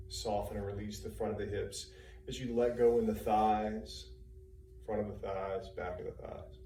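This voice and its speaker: A man with a soft-edged, mellow and fairly low voice guides a meditation. He gives calm, unhurried instructions in short phrases, soothing and spaced by pauses. The recording is very roomy, picked up from some distance. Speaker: personal trainer leading guided meditation. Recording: roomy, distant mic, faint hum and noise.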